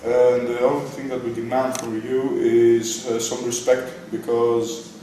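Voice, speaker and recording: A man's voice: medium pitch, little pitch movement, warm tone, lightly acted. Teenage boy playing formal male interviewer, hesitant delivery, distant noisy recording, school role-play. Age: teenage student. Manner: halting, formal. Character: demanding male boss interviewing a candidate. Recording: very echoey, distant, noisy room.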